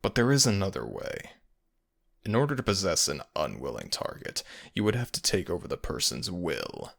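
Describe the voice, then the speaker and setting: Man playing a low, creaky, taunting fox-spirit villain. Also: very animated, a bit bright, full character voice. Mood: silky taunting. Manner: slow, theatrical, taunting menace. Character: sadistic, mocking male nogitsune fox spirit villain. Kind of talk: ASMR audio roleplay acting.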